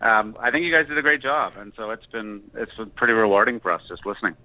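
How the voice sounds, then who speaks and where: A man's voice: on the low side, fast talker, no room sound, phone-quality sound. A man giving reflective, somewhat hesitant answers as a screenwriter in a casual interview.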